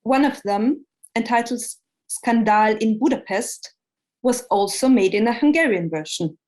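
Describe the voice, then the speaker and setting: A woman delivering a seminar lecture in a slightly high voice. She speaks in a measured, academic way, pausing often with filler sounds as she gathers her thoughts. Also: a little mellow. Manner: measured, with frequent um pauses.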